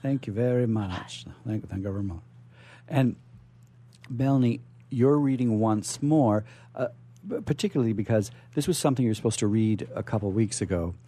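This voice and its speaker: A man hosting a live radio show in a casual, effusive and somewhat halting way. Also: lowish voice, mellow. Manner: effusive, hesitant, repeats himself.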